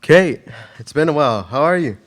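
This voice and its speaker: A man's voice: fairly high pitch, expressive, a little fast, natural voice. A student actor playing an eager, easygoing male ex-treasure hunter and pilot in an amateur radio play. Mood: friendly. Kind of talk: amateur radio theater acting.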